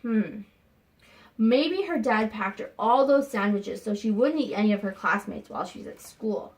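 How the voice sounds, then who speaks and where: A woman teacher reading a picture book aloud to young students, expressive and pausing to think aloud with questions for them. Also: medium pitch, low-quality recording. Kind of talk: read-aloud lesson with questions to children.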